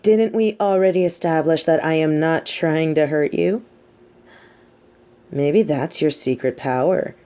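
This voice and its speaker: A woman playing a sardonic, teasing supervillain with a hidden soft side, talking straight to the listener in an audio roleplay with theatrical, mocking emphasis. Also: deep voice, measured pace. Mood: teasing, amused. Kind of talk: scripted audio roleplay monologue spoken to the listener.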